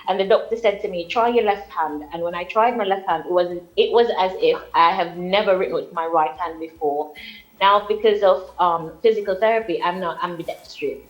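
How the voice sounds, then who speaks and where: Young woman from Jamaica, British-sounding accent, low-pitched, earnest TV guest. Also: brisk, rough recording. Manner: earnest, explains at length. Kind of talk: live TV interview.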